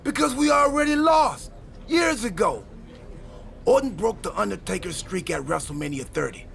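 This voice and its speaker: Goofy time-travelling wrestler, high creaky voice, excitable, wisecracking, dramatic, video game cutscene. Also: male voice, moderate pace, slight background noise, heavily acted. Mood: dramatic, ominous.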